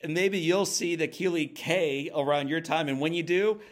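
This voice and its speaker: A man's voice: a little high, moderate pace, dry recording, studio quality. A man hosting an inspirational podcast, speaking in an earnest, emphatic, motivational way. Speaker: podcast host.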